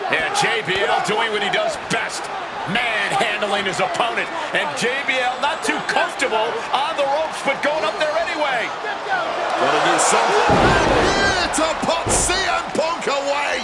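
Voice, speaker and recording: A man playing an excited pro wrestling commentator, calling play-by-play in a dramatic, projecting voice. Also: very high-pitched. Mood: intense. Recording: loud arena crowd noise and music. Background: roaring crowd, thumps.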